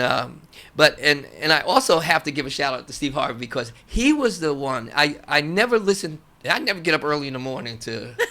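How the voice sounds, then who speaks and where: A man speaking as an interview guest, telling stories casually and haltingly, repeating phrases as he goes. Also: on the high side, bright, forceful tone. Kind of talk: casual conversational storytelling.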